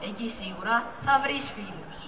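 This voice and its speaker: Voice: mid-range voice, lively intonation, pushed and bright. A young teenager playing a deceitful male dogfish who poses as a friend in an amateur school puppet play. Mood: coaxing. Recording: distant, echoey hall with audience noise.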